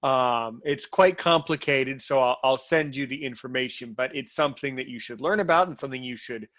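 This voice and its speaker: An American man talking in a casual interview. He is animated, repeats and restarts his words when he gets excited, and comes through a video call. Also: mid-range voice, a little fast. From United States. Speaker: interviewee and show host. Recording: lo-fi online call audio.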